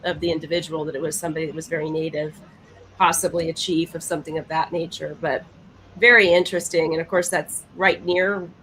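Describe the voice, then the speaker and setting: A woman's voice: low voice, little pitch movement, brisk, a bit bright, a little hiss or hum, rough recording. A woman in casual panel chat, speaking emphatically and often repeating herself for weight.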